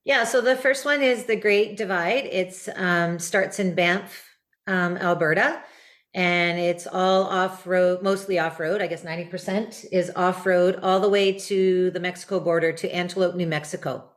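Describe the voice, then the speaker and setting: A woman guest in an interview, talking relaxed with many fillers. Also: fairly low pitch, normal speed. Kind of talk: casual interview talk.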